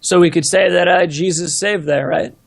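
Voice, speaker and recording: A man with a fairly high, gravelly voice co-casts a live esports stream. He is easygoing and agreeable, and often repeats words while he gathers his thoughts. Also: fairly even intonation, normal speed. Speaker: guest co-caster. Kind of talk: casual esports commentary.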